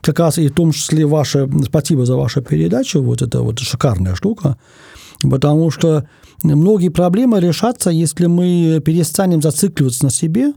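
A man from Germany, a guest in an interview, speaking thoughtfully in casual talk and pausing often to find his words. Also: fairly high pitch, animated, vocal fry, dark tone, husky, no room sound, studio quality.

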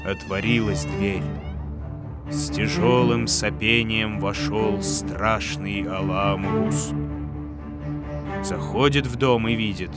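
A man's voice: on the low side, steady tone, takes it slowly. A man narrating a folk tale as a storyteller, with music behind his voice.

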